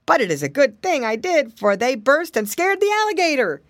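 A playful little know-it-all monkey from a children's storybook, played by a woman. The voice is pitched up high and very animated, explaining things with eager exclamations. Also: normal speed, no room sound, studio quality. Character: playful, knowing male hand organ monkey.

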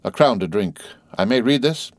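A man voicing a mild, polite gentleman banker in a classic storybook reading, formal and slightly quavering. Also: lowish voice, lively intonation, moderate pace, dry recording, clean studio sound. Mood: calm, businesslike. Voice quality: mildly quavering. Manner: polite, quietly confident, formal. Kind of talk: dramatised classic novel reading.

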